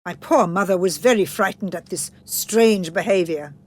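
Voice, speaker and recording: A woman's voice: fairly low pitch, moderate pace, darkish tone. A woman narrating a children's story in a scripted read-aloud style.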